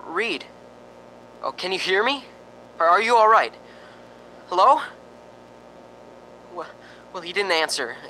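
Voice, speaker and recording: Man acting a jittery, bright-voiced radio reporter. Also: high voice, animated, slow, long pauses, slight background noise, full character voice, poor audio. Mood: uneasy, rambling. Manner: nervous, stammering, rambling with frequent sighing ohs. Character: jittery, lonely radio reporter broadcasting from a haunted house. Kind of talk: spooky radio drama acting.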